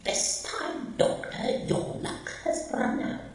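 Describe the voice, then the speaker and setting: Harsh, echoing megalomaniac cyborg villain. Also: male voice. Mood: gloating menace. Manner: menacing, pompous, slow deliberate threats. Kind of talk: radio drama villain acting. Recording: echoey fan-made audio drama.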